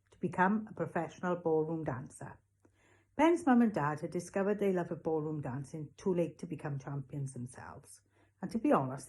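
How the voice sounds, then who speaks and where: A woman narrating a children's storybook as a read-along, in an expressive scripted reading style. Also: low-pitched. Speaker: reader, school read-along.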